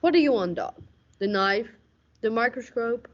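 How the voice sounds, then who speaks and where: A woman playing a tough, deadpan police lieutenant narrating a noir radio murder mystery. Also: a little high, moderate pace, noisy background, lightly acted. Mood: questioning. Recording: slightly dull lo-fi recording.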